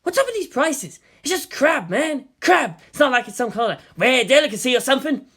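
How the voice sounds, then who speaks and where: A man's voice: extremely high pitch, sing-song, brisk, sharp tone, husky, pitched above the performer's own voice, full character voice. A man voicing an exasperated, wisecracking younger male agent in a story reading, casual and complaining. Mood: exasperated complaint.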